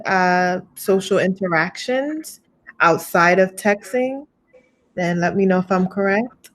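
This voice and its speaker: A woman in her thirties hosting an education webinar over a video call, chatty and animated as she reads out audience questions. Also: medium pitch. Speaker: webinar host. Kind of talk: webinar talk.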